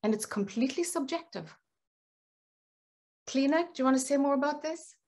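Female webinar host, middle-aged, fairly high voice, informal facilitator style, speaking on a video call from home. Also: lively intonation, moderate pace, a little mellow. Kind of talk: informal guided group facilitation. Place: home room with bookshelves, indoors.

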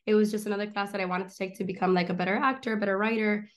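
A young woman in her twenties, born in Miami and raised in Argentina, telling her story as a guest in a casual interview, animated and fast, recorded by a laptop webcam in a small room at home. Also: mid-range voice. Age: 20s. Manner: animated, gestures a lot, rapid run-on storytelling. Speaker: guest, comedian and filmmaker. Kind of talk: casual video-call interview. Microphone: laptop webcam on a video call.

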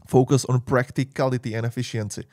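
A man's voice: medium pitch, steady tone, fast, darkish tone, no echo, clean studio sound. A man in his thirties, a native Czech speaker with a Czech accent, hesitantly reading tech points aloud and adding casual asides. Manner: hesitant, many "uh" and "you know", reads points aloud then comments. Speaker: tech YouTuber. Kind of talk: screen-share tech review with asides. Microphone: studio mic on boom, webcam inset.

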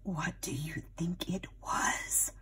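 A woman's voice: on the low side, steady tone, slow pace, pushed and bright, in a whisper. A woman narrating a children's storybook expressively, dramatic and addressing young listeners like a teacher.

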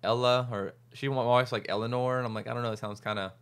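A man in his thirties hosting a podcast from a small studio into a boom mic, chatting easily with laughs and trailing fillers. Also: fairly even intonation, fast talker, fairly bright tone, clean tone. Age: 30s. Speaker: podcast host, restaurant owner. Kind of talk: casual chat. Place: small studio office with monitors. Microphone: large studio mic on boom arm.

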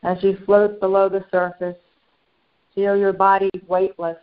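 A woman channeling a serene, benevolent spiritual master, guiding a meditation in slow, short phrases with long pauses. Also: mid-range voice, completely flat delivery, clean tone, close to an everyday voice. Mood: serene, reverent. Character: serene, benevolent ascended master St. Germain addressing followers. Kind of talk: guided meditation. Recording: dull lo-fi sound.

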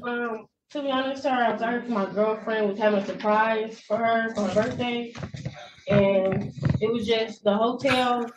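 A woman's voice: on the high side, fairly even intonation, normal speed, muffled recording. A woman speaking as a defendant, polite and hesitant as she explains herself. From Georgia, US. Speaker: defendant at hearing. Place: jail room, standing far from camera. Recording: echoey noisy jail room, distant mic.

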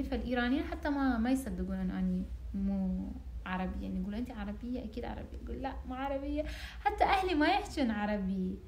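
A young woman in her twenties from Iran, Persian-speaking from birth, chatting casually to camera in a small room with lively hand gestures. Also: a little high, reverberant, a little hiss or hum. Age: 20s. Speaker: vlogger. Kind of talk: casual vlog chat to camera. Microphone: phone camera at arm's length.

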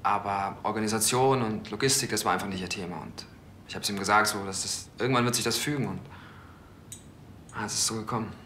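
An earnest employee in a TV crime comedy, insistent but halting. The voice is lowish and forceful, in a roomy space. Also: male voice, slight background noise. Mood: earnest, defensive. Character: earnest male brewery employee defending colleague. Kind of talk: television crime comedy acting.

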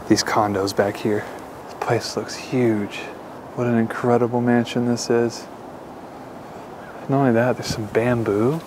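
Chatty young man exploring outdoors. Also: mid-range voice. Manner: casual, chatty, addresses viewers as guys. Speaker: urban explorer host. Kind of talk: walking tour vlog, casual. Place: outdoors and inside an abandoned mansion. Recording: noisy outdoor camera audio.

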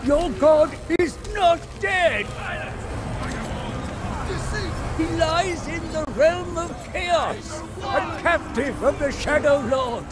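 An actor playing a weary, scheming old scholar in a game cinematic, grave, theatrical and deliberate. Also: male voice, extremely high pitch, loud music behind the voice, a loud crowd. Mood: impassioned pleading. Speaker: narrator and advisor character. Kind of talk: dramatic game cinematic narration.

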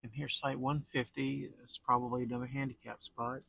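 Male travel vlogger, folksy and colloquial, casual rambling tour chat, husky edge, medium pitch. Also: level tone, fairly quick, bright, forceful tone, no room sound.

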